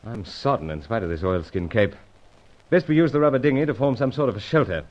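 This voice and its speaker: An actor playing an unflappable British secret agent, with clipped, understated gentlemanly speech, in a vintage adventure radio serial. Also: male voice, mid-range voice, expressive, quick pace, lightly acted. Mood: stoic, practical. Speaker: lead character and narrator. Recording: dull vintage radio sound.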